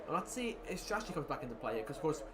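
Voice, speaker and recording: Male sim racing play-by-play caller, quick and raised in pitch, getting hoarse, noisy recording. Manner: excited play-by-play, rising with the action. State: losing his voice. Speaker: lead commentator.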